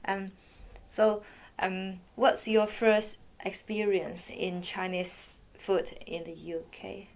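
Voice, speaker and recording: A woman's voice: mid-range voice, level tone, slow, creaky, a little mellow. A woman interviewing, asking her questions hesitantly with fillers. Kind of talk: oral history interview.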